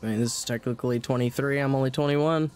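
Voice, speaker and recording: A man's voice: mid-range voice, fairly even intonation, quick pace, a little mellow, a little hiss or hum. A man streaming a game, rambling casually to his viewers while he plays, sniffly with a cold. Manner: chatty, rambling, talks to chat. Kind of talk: casual gameplay commentary. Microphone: desk mic.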